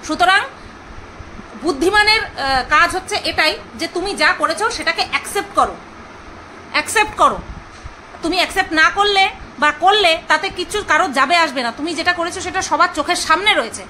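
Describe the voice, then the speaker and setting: Woman, very high sharp voice, mocking gossip vlogger, emphatic repetition, noticeable background noise. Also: a little fast, poor audio. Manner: mocking, scolding, emphatic with repeated phrases. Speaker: video blogger commenting on other online personalities. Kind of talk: casual gossip commentary.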